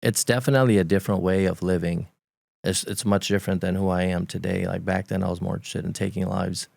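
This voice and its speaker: A very low, gravelly man's voice with a Romanian accent, speaking with a flat, even delivery. He talks in his forties as a podcast guest, stopping and restarting as he recalls his past and laughing lightly now and then. The recording is close and dry. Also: no echo. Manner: halting, repeats words while recalling, laughs lightly. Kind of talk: candid conversational storytelling.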